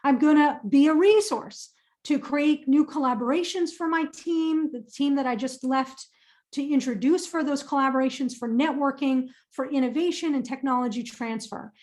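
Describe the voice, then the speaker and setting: A woman's voice: high-pitched, moderate pace, a little mellow. A woman presenting a career webinar and answering audience questions in an upbeat, emphatic way, often repeating phrases for stress.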